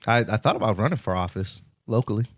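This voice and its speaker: A man's voice: lowish voice, lively intonation, fast talker, no echo. Laid-back young man, dry sound. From Indiana, US. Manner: laid-back, trailing restarts, chuckling. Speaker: podcast host. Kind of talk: casual podcast chat.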